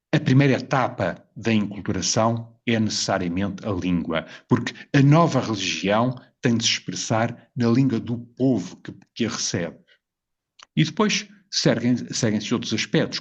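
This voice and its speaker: Husky-voiced Portuguese man, measured and reflective. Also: on the low side, lively intonation, lo-fi sound. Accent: European Portuguese. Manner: measured, poses rhetorical questions. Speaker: video presenter. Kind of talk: reflective spoken essay.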